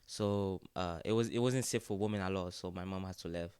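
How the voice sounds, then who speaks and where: Young man from Haiti, low smooth voice. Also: fairly quick, no room sound. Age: young adult. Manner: relaxed, repeats "yes, sir" and "like". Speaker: student-athlete guest. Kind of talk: casual interview chat.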